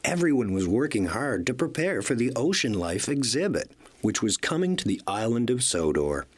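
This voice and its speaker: A man narrates a children's storybook in an animated read-along style. His mid-pitched voice has a husky edge and swings widely in intonation. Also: normal speed.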